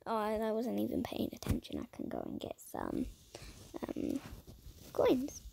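A woman giving playful, dramatic commentary over a video game, her voice croaky and strained. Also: on the high side, huge pitch swings, unhurried. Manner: dramatic, silly, swings between shouting and whispering. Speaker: gaming YouTuber.

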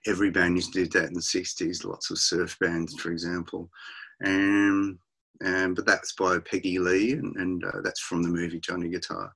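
Deep-voiced Australian man, halting talk. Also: level tone, gravelly, fairly bright tone, breathy edge. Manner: halting, many restarts and fillers, laughs often. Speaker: musician guest. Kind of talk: casual interview over video call. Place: home music room, indoors. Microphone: video call.

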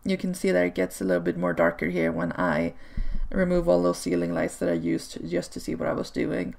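A woman whose first language is Swedish, chatting casually over gameplay footage in a relaxed way, with frequent ums and small laughs. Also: fairly low pitch, a little fast, creaky. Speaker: video creator. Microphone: home desk microphone.

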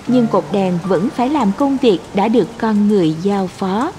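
A woman's voice: mid-range voice, lively intonation, normal speed, warm tone, a little hiss or hum. A woman narrating a scripted story. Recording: long hall reverb, faint music.

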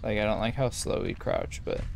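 A man's voice: on the low side, wildly swooping pitch, moderate pace, gravelly, a little hiss or hum. A young man giving casual, slangy, rambling and self-deprecating commentary while he plays a video game. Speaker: gaming video host. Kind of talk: casual let's-play commentary.

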